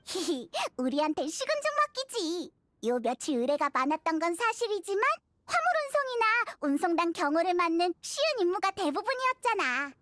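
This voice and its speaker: Game sidekick: small excitable fairy girl, extremely high and squeaky, swinging intonation, nosy and expressive. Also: normal speed, no echo, studio quality, exaggerated voice. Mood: smug, cheerful. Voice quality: childlike, squeaky. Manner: exclamatory, expressive. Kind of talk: animated game dialogue acting.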